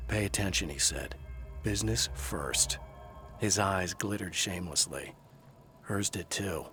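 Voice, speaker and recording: An actor playing a haunted, weary male writer who narrates a horror game in a low, measured, brooding voice. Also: bright, forceful tone, soft background music.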